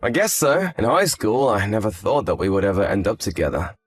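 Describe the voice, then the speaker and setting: A man's voice: lowish voice, very animated, brisk. A man playing an earnest, frank young husband in scripted story dialogue, speaking expressively. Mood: chatty, frank.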